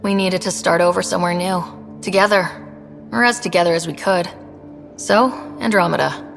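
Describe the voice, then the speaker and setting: A woman's voice: on the low side, moderate pace, creaky, a bit bright. A woman playing an earnest, inquisitive young female explorer in conversational video game dialogue. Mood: curious, friendly. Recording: long reverb, music behind.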